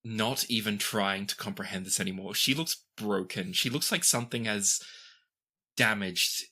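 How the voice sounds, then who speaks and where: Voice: deep voice, normal speed, bright, forceful tone, slight background noise. A game master narrating an improvised tabletop game.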